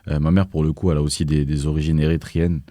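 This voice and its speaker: A man's voice: a bass voice, level tone, fast talker, mellow, dry recording, studio quality. A young man talking casually in an interview, hesitant, with many fillers and restarts. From France. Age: young adult. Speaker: guest, activist.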